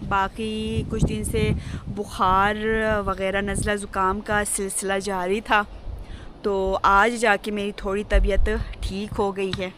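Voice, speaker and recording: गिलगित-बल्तिस्तान की एक महिला व्लॉगर, गला बैठा हुआ, नरमी से बात करती है और बार-बार "जी" बोलती है।. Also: female voice, mid-range voice, little pitch movement, slow pace, noisy background. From Gilgit-Baltistan, Pakistan. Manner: soft-spoken, fills with polite "ji". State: hoarse, sore throat. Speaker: village vlogger. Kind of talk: casual vlog narration. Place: home village, partly outdoors on rooftop and in garden.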